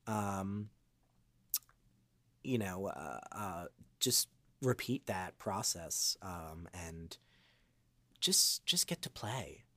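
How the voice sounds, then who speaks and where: A man in his twenties chatting reflectively as an interview guest, earnest and halting as he gathers his thoughts. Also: low-pitched, animated, sharp tone, no echo. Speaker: voice actor, podcast guest. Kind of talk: casual reflective interview chat.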